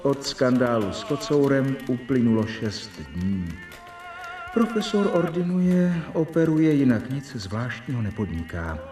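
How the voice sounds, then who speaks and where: An actor playing an earnest, observant doctor who narrates his journal in a radio drama, deliberately and expressively. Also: medium pitch, sing-song, close to an everyday voice. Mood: measured, resolute. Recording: roomy, distant, clear music behind.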